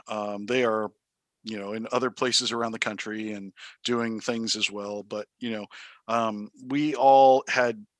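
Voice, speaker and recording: A man's voice: medium pitch, a bit bright, no room sound. Male teacher in his thirties, from Taiwan, thoughtful and hesitant, dry close recording. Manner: thoughtful, many ums and uhs, restarts. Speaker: high school math teacher, interview guest. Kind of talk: casual interview answers.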